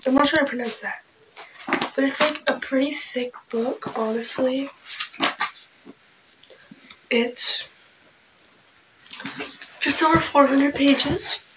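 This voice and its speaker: A young woman chatting casually about her book haul, clearing her throat often as she loses her voice, her asides trailing off soft and breathy. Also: sits high, background noise. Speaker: book vlogger. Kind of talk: casual book haul chat with read-aloud summaries.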